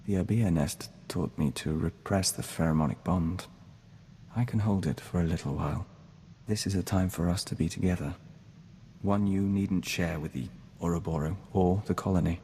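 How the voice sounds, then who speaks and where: A deep-voiced envoy of a hive mind, serene and devoted. His speech is formal and measured, like a courteous mystic in a sci-fi game. The sound is very reverberant, with some noise behind it. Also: dark tone. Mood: gentle, sincere. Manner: measured, formal, speaks of himself as we. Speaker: companion character in a video game. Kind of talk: scripted game dialogue.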